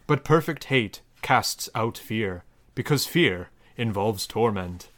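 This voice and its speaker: A man playing a solemn preacher, giving a dramatic, declamatory reading of parody scripture with deliberate phrasing. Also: mid-range voice, a bit bright.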